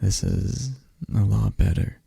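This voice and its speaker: A man doing a shy, tender best friend who talks softly and stumbles over his words, his low voice dark and gravelly. He takes his time, unhurried and hushed, close and dry on the mic. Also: dry recording, heavily acted. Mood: playful. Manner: soft-spoken, stammering, gentle. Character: shy affectionate young adult male best friend. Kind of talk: ASMR roleplay.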